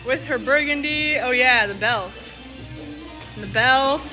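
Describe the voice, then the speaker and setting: A young woman chatting casually to camera as a vlogger, excitable and playful with her exclamations. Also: high-pitched, slow pace, soft background music, low-quality recording. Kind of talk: casual vlog chat to camera.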